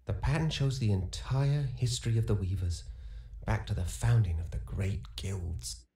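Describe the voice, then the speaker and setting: An actor playing a thoughtful, curious young weaver in an audio drama, musing deliberately as he describes things. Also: fairly low pitch, animated, mellow. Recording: echoey room.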